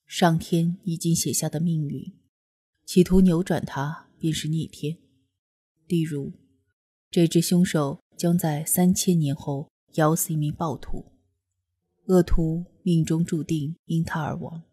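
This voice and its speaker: A woman voicing a calm, composed young male immortal with a husky voice, in an audio drama. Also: low voice, measured pace. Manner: level, composed.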